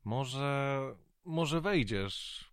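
An actor in an audio drama playing a sheepish, evasive fiancé. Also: male voice, medium pitch, lively intonation, extremely slow, clean tone, no echo, studio quality.

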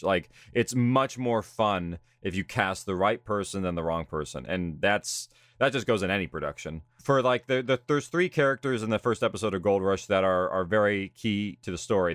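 A man with a lowish voice explains his work as a director. He speaks in an earnest, conversational interview style. The recording is dry and close. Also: fairly bright tone, no echo. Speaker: audio drama creator and director.

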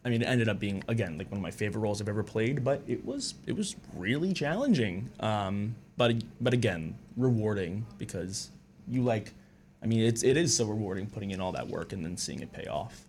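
A young man with a fairly low voice talks loosely as a radio interview guest. He stops and restarts often, filling gaps with small fillers, in an easygoing way. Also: a little hiss or hum. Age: young adult. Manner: relaxed, halting with many fillers. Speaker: guest, musical theatre student. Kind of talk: casual radio interview chat.

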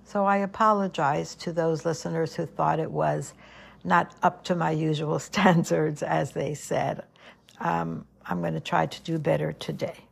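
An older woman hosts her own podcast, speaking straight to her listeners in a gentle, earnest, motherly tone. Her voice is soothing, lowish and gravelly, with a husky edge. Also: steady tone, a little slow. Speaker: podcast host. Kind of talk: reflective monologue podcast.

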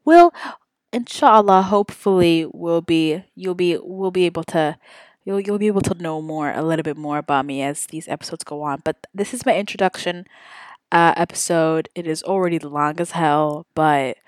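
A woman's voice: lowish voice, no room sound, clean studio sound. A woman in her twenties hosting her own podcast, rambling casually with lots of ums and self-corrections. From Saudi Arabia. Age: 20s. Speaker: podcast host. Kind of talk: casual solo podcast chat.

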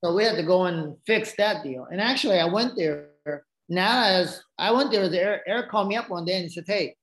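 A man's voice: high-pitched, brisk, a bit bright. Interview guest: man, Japanese accent, native Japanese speaker, rambling storyteller, recorded with an echo. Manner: rambling, frequent restarts and asides. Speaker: podcast guest. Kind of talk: casual storytelling.

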